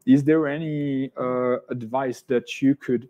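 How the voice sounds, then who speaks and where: A man with a Serbian accent hosting an online interview, speaking haltingly with many fillers and restarts. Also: medium pitch, steady tone, warm tone, highs cut off. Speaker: event host.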